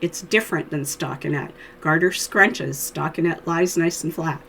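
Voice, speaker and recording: A woman with a hoarse voice from a cold, teaching knitting in a chatty, friendly how-to tutorial, with little laughs. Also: low-pitched, moderate pace, fairly bright tone, a little hiss or hum.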